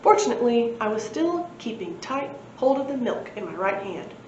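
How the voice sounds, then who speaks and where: A woman's voice: fairly high pitch, big pitch swings, normal speed, darkish tone. A woman narrating a children's storybook as a library read-aloud. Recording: echoey room, muffled, faint noise.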